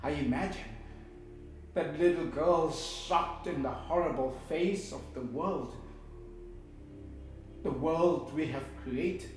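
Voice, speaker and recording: An actor with a South African accent playing a grieving, bitter man who delivers a halting, emotive funeral eulogy as a theatrical monologue. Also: a little high. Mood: subdued, sorrowful. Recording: very echoey room, music behind.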